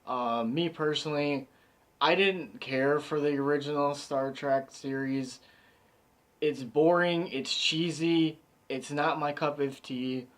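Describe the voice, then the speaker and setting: A man giving a casual, rambling movie review, excited and slightly hoarse from talking so much. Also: on the high side, slow. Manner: enthusiastic, rambling with many fillers. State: slightly hoarse, losing his voice.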